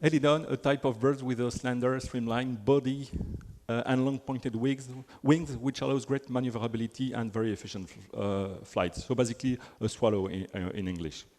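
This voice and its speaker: A man's voice: mid-range voice, steady tone, fairly quick, few pauses, darkish tone. A man from Belgium with a French accent, giving a chatty technical conference talk full of fillers and restarts. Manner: chatty, self-deprecating, frequent fillers and restarts. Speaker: conference speaker. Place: large conference hall. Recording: hall with long reverb.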